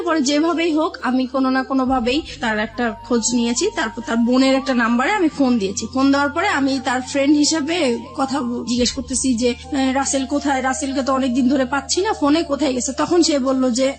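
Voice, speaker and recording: A woman with a Bangladeshi accent telling her own life story as an interview guest, in a halting, confessional way. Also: high voice. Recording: soft music behind the voice. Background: background music.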